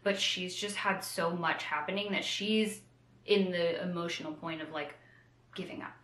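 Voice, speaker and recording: Bright-voiced woman in a roomy echo. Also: lowish voice, moderate pace. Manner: animated retelling with playful slangy asides. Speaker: co-host of a book discussion show. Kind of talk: casual book chat.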